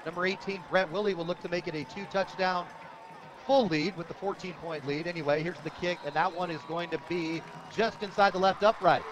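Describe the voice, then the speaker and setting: An American man calling football play-by-play on the radio in an excited, emphatic manner. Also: high voice, fairly quick. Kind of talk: live American football commentary. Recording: outdoor stadium broadcast, heavy background noise.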